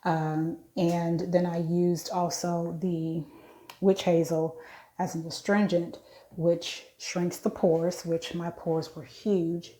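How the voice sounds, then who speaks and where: A woman's voice: on the low side, little pitch movement, takes it slowly, warm tone. A woman in her late forties casually walking viewers through her skincare routine, chatty and frank, correcting herself as she goes. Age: 40s. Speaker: beauty vlogger.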